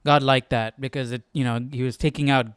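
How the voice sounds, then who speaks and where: A man with a smooth, clear voice and mid-range pitch talks through his thoughts informally as a study host. His delivery is halting and musing, with frequent little check-in questions, in a dry recording. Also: steady tone, fairly bright tone, dry recording. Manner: halting, musing, often tags remarks with "right?". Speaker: host of a Bible study livestream. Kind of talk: informal thinking-aloud commentary.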